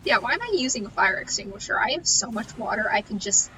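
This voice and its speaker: A woman's voice: on the high side, expressive, fast, background noise. A woman streamer giving casual, playful gameplay commentary, musing aloud to herself. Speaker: game streamer.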